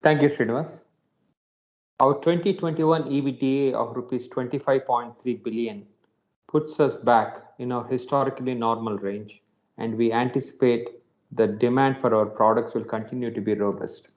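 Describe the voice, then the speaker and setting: A man's voice: mid-range voice, fairly even intonation, normal speed, darkish tone. Formal male executive on a muffled call. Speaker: senior company executive giving closing remarks. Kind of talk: scripted business remarks on an earnings call. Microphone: conference call line. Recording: dull, lo-fi conference call audio.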